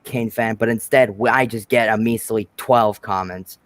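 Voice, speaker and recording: A man's voice: on the low side, fairly even intonation, moderate pace, dry recording. A man hosting a casual reaction chat, joking and teasing his guest, often restarting his sentences. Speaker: host of the reaction video.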